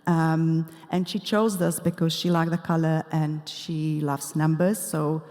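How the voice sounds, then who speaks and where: A woman with an Eastern European accent giving a prepared conference presentation as a health coach, explaining things haltingly with pauses and asides. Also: low-pitched, flat delivery, mellow. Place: large indoor conference hall. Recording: echoey hall with long reverb.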